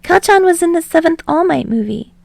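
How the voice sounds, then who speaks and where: A woman voicing an earnest, soft-hearted young man who is eager to help and easily indignant. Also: extremely high pitch, animated, brisk, vocal fry, no echo, voice pushed up, exaggerated voice. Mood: helpful. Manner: earnest, helpful.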